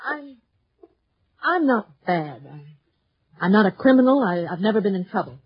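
Husky, defensive mistress. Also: female voice, mid-range voice, sing-song, unhurried. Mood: shaken, defensive. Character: defensive, wistful mistress caught in a scheme. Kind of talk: 1950s radio drama acting. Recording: dull 1950s radio recording.

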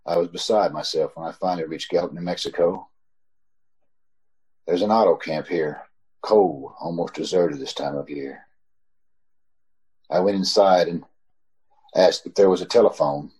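A man acts a desperate driver telling his tale in an old-style radio suspense play. The voice is dark and rough-edged, sitting fairly low. He sounds haunted and pleading, with dramatic delivery. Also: moderate pace, long pauses. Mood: haunted, grave. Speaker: actor in an old-time radio troupe. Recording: amateur video call recording.

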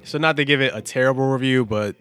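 A man's voice: mid-range voice, fast talker, fairly bright tone, clean tone, no room sound. An American man co-hosting a casual podcast, talking in loose, meandering, slangy and profane banter. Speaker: podcast co-host.

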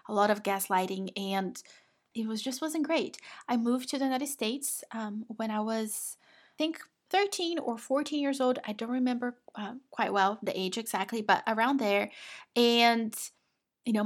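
Woman, Brazilian Portuguese accent, slightly high-pitched, encouraging and affectionate motivational podcast host. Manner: encouraging, affectionate, addresses listener as "my love". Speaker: podcast host and women's business coach.

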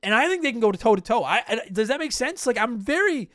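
A man hosting a podcast in a casual, joking, self-deprecating and blunt way, his voice slightly hoarse from a lot of talking. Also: very high voice, sing-song, fast talker, pushed and bright, dry recording, clean studio sound. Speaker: podcast host. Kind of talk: casual solo podcast talk with promotional plugs.

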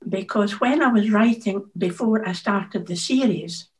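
A woman, an author answering interview questions in a thoughtful, measured way. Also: mid-range voice, low-quality recording. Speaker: author, guest.

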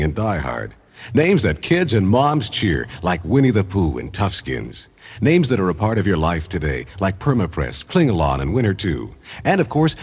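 A man's voice: sits low, slightly rough. A man reading a scripted commercial as an announcer. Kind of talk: scripted ad narration.

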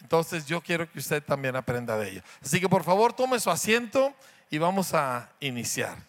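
A man's voice: on the high side, sing-song, moderate pace. A man with a Mexican Spanish accent, a pastor introducing guests to his church in a joking, chatty way that keeps asking the audience questions.

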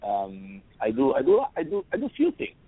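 A man from Italy with an Italian accent and a hoarse, worn voice, answering interview questions in a rambling way. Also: mid-range voice, wildly swooping pitch, normal speed, dry recording. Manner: rambling, restarts, listing. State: hoarse, losing his voice. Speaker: guest. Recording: dry phone line.

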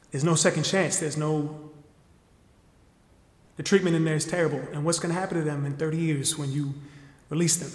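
A man's voice: a little high, lightly acted. An actor playing an earnest, reflective man in his twenties who was exonerated and tells his story in an audio drama. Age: 20s. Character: earnest 24-year-old exonerated man, resilient, reflective, forgiving. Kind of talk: audio drama monologue and interview. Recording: reverberant hall with faint music.

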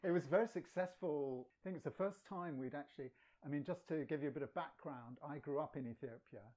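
A man from England recounts his story as an interview guest, easygoing and chatty. His voice is mellow and warm, and he breaks into laughter as he tells his anecdotes. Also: fairly high pitch, fast. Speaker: guest poet and translator. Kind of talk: casual storytelling.

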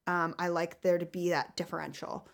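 A deep-voiced woman co-hosting an advice podcast, talking frankly in casual chat and laughing easily. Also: flat delivery, normal speed, creaky. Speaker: podcast co-host.

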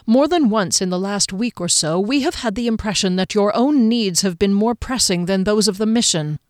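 A woman voicing a proud male fantasy captain in an audiobook, formal, deliberate and intense, holding down his anger. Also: medium pitch, no echo, studio quality, lightly acted. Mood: frustrated, cold.

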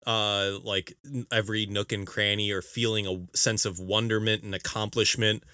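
A man co-hosting a casual video game podcast, talking emphatically with halting explanations and exasperated teasing. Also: lowish voice, flat delivery, pushed and bright, smooth, no echo, clean studio sound. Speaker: podcast co-host.

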